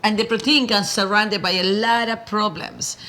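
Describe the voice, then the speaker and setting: A woman with an Argentine Spanish accent chats as a guest, her voice bright and forward. She speaks in halting bursts with frequent restarts and animated asides. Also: medium pitch. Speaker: guest, food company founder. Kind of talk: casual interview chat.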